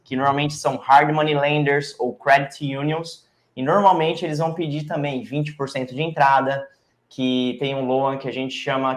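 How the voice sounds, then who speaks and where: A man's voice: medium pitch, reverberant. A man with a Brazilian Portuguese accent, a real estate broker and investor, explaining financing in a casual interview. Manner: explanatory, lists numbers, uses "cara" and "né".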